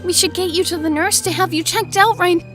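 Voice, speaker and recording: A woman playing an expressive, girlish schoolgirl in an anime-style audio drama. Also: very high voice, brisk, over music. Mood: worried. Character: sweet, concerned schoolgirl hiding a scheming streak.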